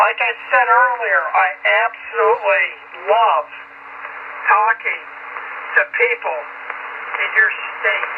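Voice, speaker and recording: High-pitched man on a noisy narrow-band radio. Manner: halting, deliberate, repeats phrases. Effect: shortwave radio transmission. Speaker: amateur radio operator. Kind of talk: casual ham radio conversation. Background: radio static noise.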